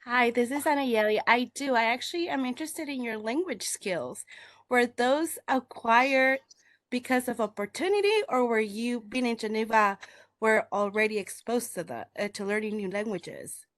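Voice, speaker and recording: A woman joining remotely, asking a curious, measured question. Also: a little high, fairly bright tone, no echo. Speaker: remote participant.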